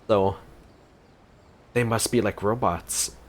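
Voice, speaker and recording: A man's voice: fairly low pitch, fairly even intonation, takes it slowly, a little hiss or hum. A man giving informal gameplay reaction commentary, musing aloud as he plays, with game music behind him. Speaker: game streamer.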